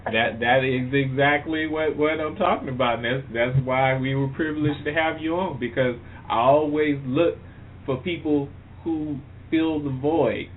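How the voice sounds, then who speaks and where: A man hosting a casual interview, speaking haltingly and repeating words as he makes his points. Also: fairly high pitch, steady tone. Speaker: radio show host. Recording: muffled phone-like line, faint noise.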